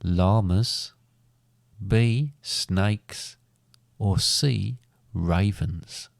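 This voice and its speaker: A man's voice: lowish voice, creaky, dry recording. A man co-hosting a casual podcast and reading out quiz questions, his voice a little worn as if he is losing it. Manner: slow, dry quizmaster delivery. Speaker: podcast co-host, quizmaster.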